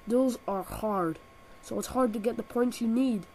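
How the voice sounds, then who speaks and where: A woman's voice: fairly high pitch, a little slow, darkish tone, husky, poor audio. A woman with a cold and a nasal voice, reviewing a video game with sarcastic, exaggerated comic rants, recorded on a built-in laptop microphone. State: has a cold.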